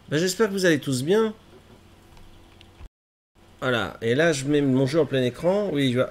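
A man's voice: fairly high pitch, fairly bright tone. Chatty man with a cold, streaming. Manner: chatty, self-mocking, fussing over tech trouble. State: stuffy with a cold. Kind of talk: casual live-stream chat while gaming. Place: small room at home, gaming chair.

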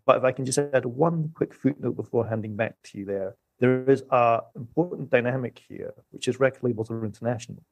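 Man, low gravelly voice, dry close sound, measured explanatory podcast talk. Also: a little mellow, no room sound. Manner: measured, explanatory, recaps points. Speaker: music economist guest. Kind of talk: podcast discussion.

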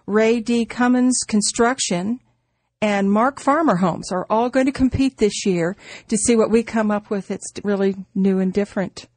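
A woman's voice: medium pitch, moderate pace, a little mellow, no echo. A woman co-hosting a radio show in casual, unscripted chat, with a teasing, interjecting manner. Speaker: radio co-host.

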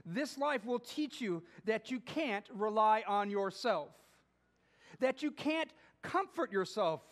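A middle-aged man preaching a sermon as pastor in a small church, pacing while he reads scripture aloud and swings from emphatic to hushed. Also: high-pitched, lively intonation, slow pace. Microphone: far camera.

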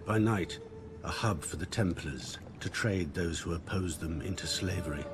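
An actor playing an older assassin master in a video game, a calm, patient mentor who speaks gravely and deliberately. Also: male voice, low voice, over music, close to an everyday voice. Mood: cautioning. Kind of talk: dramatic game cutscene dialogue.

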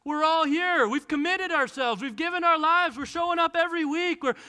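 A man in his thirties preaches from a church stage through a headset mic. His voice sits high and sounds bright and forceful. He moves a lot and acts out the voices of the people in the text. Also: brisk. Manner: animated, gestures widely and acts out voices from the text. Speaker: pastor. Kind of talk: sermon. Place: large church stage with a lectern.

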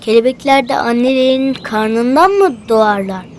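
High-pitched curious cartoon child played by a woman. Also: animated, normal speed, lightly acted. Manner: wide-eyed, eager, exclaiming. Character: curious, excitable young child full of questions. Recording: music playing behind the voice.

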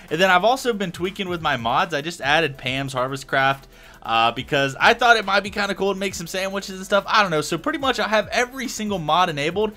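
Young male let's-player, bright and animated, a little hoarse, rambling commentary. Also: on the high side. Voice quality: hoarse-sounding. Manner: rambling, thinking aloud with many fillers. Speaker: Minecraft let's play host. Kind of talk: casual gameplay commentary.

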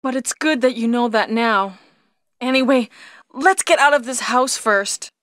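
An actress playing a brave young heroine in a video game, earnest and deliberate, with stilted delivery. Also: female voice, fairly high pitch, fairly bright tone, no echo, clean studio sound. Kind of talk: video game cutscene acting.

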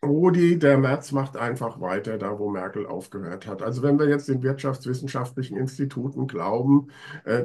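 A man's voice: mid-range voice, a little mellow. A man in his sixties with a cold, co-hosting a casual political chat over a video call, sardonic and emphatic. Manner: sardonic, emphatic, drawn-out complaints. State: has a cold, stuffy. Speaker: co-host. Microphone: video call webcam.

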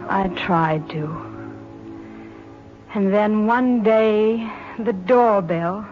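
A woman's voice: medium pitch, very slow, lo-fi sound. Solemn, wounded wartime mother over music. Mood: solemn, wistful. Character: wounded, proud wartime mother telling her story to her son. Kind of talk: 1940s radio drama acting.

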